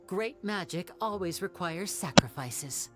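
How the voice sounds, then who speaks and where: A woman voicing a young stage magician who calmly explains a murder in a game cutscene. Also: on the low side, loud music behind the voice. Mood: explaining. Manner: calm, measured storytelling.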